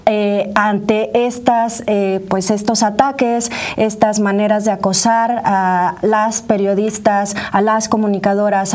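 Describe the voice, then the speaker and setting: A woman's voice: medium pitch, darkish tone. A woman with a Mexican accent hosting an interview, asking long conversational questions. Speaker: host.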